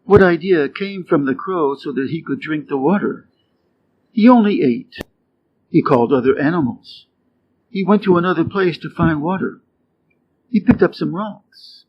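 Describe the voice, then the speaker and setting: An American man from the Midwest reading short fables and quiz questions clearly and deliberately for English learners. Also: fairly high pitch, expressive. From Wisconsin, USA. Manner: clear, deliberate, rising quiz intonation on answer choices. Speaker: English teacher reading stories. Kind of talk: slow scripted storybook reading for learners. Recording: dull lo-fi sound with faint noise.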